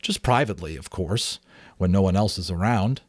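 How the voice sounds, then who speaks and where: A man voicing a thoughtful father in a storybook, confiding in a measured manner. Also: deep voice, expressive, breathy edge, dry recording.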